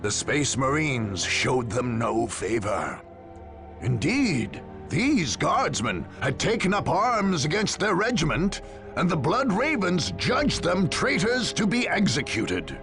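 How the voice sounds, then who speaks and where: A man acting as a solemn, measured war chronicler, narrating a sci-fi game saga in a theatrical style. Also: on the high side, sing-song, music in the background, heavily acted. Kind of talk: dramatic scripted lore narration for a war game.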